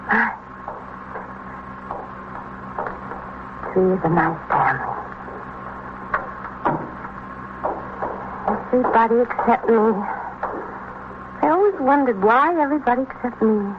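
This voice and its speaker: An actress playing a tender, pleading young American wife, earnest and plaintive in an old radio drama. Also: female voice, fairly high pitch, lively intonation, moderate pace, long pauses, lightly acted. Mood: tender, wistful. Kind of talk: 1950s radio drama acting. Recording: muffled, faintly noisy vintage radio recording.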